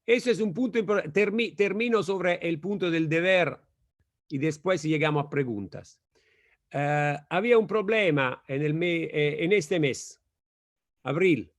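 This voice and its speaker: A man with an Italian accent, speaking Spanish as a second language, giving an academic lecture over a video call in a slow, halting way with frequent self-corrections. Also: a little high. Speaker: guest lecturer.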